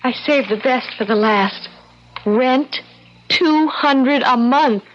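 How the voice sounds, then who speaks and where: High-voiced eager young wife. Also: female voice, slow pace. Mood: eager, insistent. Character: eager young newlywed wife, hopeful yet doubting. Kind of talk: old-time radio drama acting. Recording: muffled, hissy vintage radio recording.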